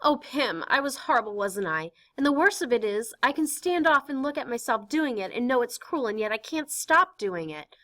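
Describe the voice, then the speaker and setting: An anxious, intense teenage girl in hiding, as a woman reading a play puts her on. Her voice sits high and bright, pouring out pleading, confessional words. It is a stage play read aloud. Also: very animated, normal speed, few pauses.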